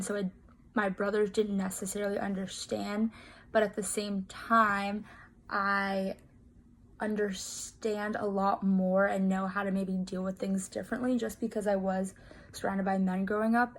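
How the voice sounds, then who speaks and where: A nineteen-year-old woman casually explaining a class project, with lots of filler words. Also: medium pitch. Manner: rambling, heavy use of "like" fillers. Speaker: student presenting a class project.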